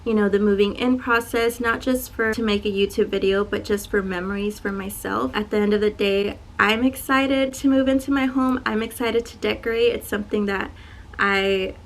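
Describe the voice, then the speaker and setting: Twenties woman, quiet and shy, casual vlog chat to camera, medium pitch. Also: fairly even intonation, normal speed, a little hiss or hum. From Dallas, Texas. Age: 20s. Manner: soft-spoken, self-described shy and quiet. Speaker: YouTuber. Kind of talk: casual get-to-know-me Q&A.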